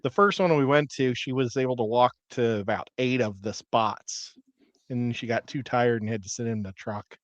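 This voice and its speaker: A man's voice: medium pitch, normal speed, dry recording. A man in his fifties or older, telling everyday stories in casual chat as a livestream panelist. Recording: dry, dull lo-fi audio.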